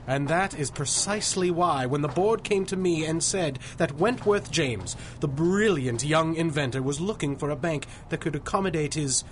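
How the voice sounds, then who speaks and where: An actor playing a formal, obsequious bank manager in a 1930s-style radio drama, eager to please and fussy. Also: male voice, fairly high pitch, normal speed, few pauses, slight background noise, natural voice. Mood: deferential.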